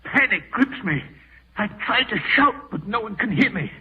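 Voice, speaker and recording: A man's voice: high voice, animated, moderate pace, husky, distant mic, exaggerated voice. Irascible old man, thin vintage radio. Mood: panicked. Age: older. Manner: commanding, insistent, dramatic. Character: aged irascible dying patriarch haunted by fear. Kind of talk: old-time radio drama acting. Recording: muffled, narrow-band vintage radio recording.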